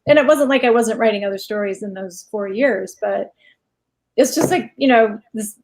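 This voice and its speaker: A woman's voice: mid-range voice, moderate pace, a little hiss or hum. A woman speaking as a guest writer and teacher in a casual interview, candid and anecdotal, acting out quotes as she goes. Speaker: guest short story writer and writing teacher.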